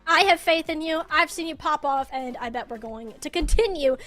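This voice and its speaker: A woman's voice: high-pitched, moderate pace, fairly bright tone. A woman hosting a live esports broadcast and interviewing in a chatty, casual way. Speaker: esports desk host.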